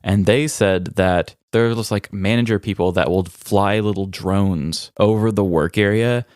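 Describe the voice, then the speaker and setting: A man's voice: on the low side, a little slow, a little mellow, dry recording. Dry-sounding American man chatting casually. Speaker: podcast co-host. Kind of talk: casual comedic true-crime chat.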